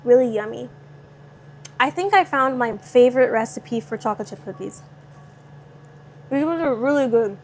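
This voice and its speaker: A bubbly young woman with a light Californian accent and a bright, high voice talks easily with her viewers as she cooks at home. She slips between reading their comments and chatting about the food. A faint hum of the room sits under her voice. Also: animated, normal speed, long pauses. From Southern California. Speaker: vlogger cooking live and answering chat. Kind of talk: casual live-stream chat. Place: home kitchen.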